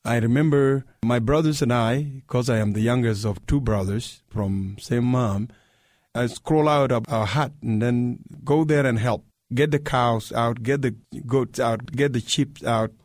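A man's voice: mid-range voice, darkish tone, no room sound, studio quality. A man from South Sudan, a radio interview guest, recalling his childhood unhurriedly and repeating words for emphasis. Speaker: guest, human rights activist. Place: radio studio.

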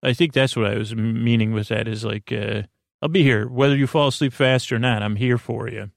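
A man hosting a bedtime podcast in a meandering, halting monologue, often drifting off his train of thought. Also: mid-range voice, fairly even intonation, creaky, no room sound, studio quality. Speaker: podcast host.

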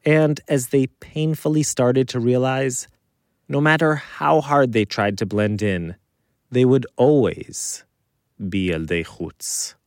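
A man narrating a scripted documentary as host, phrasing deliberately with dramatic pauses, close on the microphone. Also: medium pitch, darkish tone, no echo.

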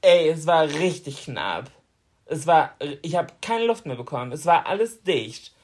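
Woman, 30s, animated co-host; swinging, expressive delivery, quick to laugh while telling stories. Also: low-pitched, slightly rough. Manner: animated storyteller, laughs often, acts out her own quotes. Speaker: podcast co-host. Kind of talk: casual chat, anecdote telling.

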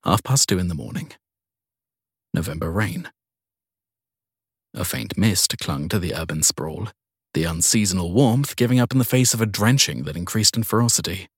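A man's voice: deep voice, moderate pace, long pauses, husky, no room sound, clean studio sound. A man reading a crime story as a scripted audiobook narration.

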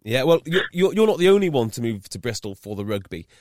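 A young man from North Wales hosting an interview, chatty and quick to stumble over his words, his pitch jumping up when he gets going. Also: no room sound, clean studio sound. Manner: chatty, stumbles and restarts, lively rises in pitch. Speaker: podcast host. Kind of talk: casual interview chat.

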